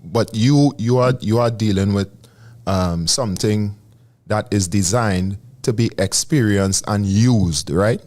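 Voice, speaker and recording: Male radio host, low voice, unhurried, halting interview questions. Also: darkish tone. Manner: slow, hesitant, many fillers and restarts.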